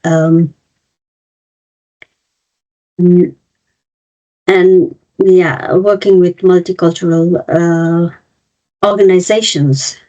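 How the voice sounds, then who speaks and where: A woman's voice: fairly low pitch, steady tone, very slow, long pauses, dark tone. A woman in her fifties from Nepal and India, presenting an online webinar as a cross-cultural trainer and explaining things step by step with frequent fillers and restarts. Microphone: video call. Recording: dull lo-fi video call audio.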